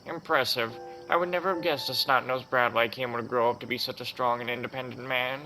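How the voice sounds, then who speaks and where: Man doing an old grandmother, game villager, folksy, modest, gently grumbling, music behind. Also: medium pitch, steady tone, a little fast, lightly acted, poor audio. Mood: modest, fond.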